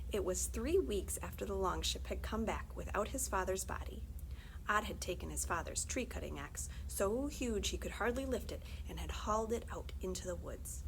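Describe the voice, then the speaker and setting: Woman doing storytime read aloud, very up and down voice, bit of fry, chatty with the kids, some background noise. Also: mid-range voice, poor audio. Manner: chatty, informal, checks in with listeners. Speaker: librarian reading aloud to children. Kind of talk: storybook read-aloud with casual asides to young listeners.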